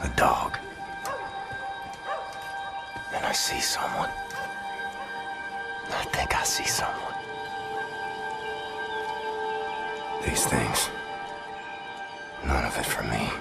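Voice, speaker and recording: An actor playing a grim, weary American soldier hero in a video game, brooding in a gravelly hushed near-whisper with clipped phrases. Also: male voice, very deep voice, steady tone, takes it slowly, long pauses, heavily acted. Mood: brooding, wistful. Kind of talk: scripted video game narration. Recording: loud music under the voice.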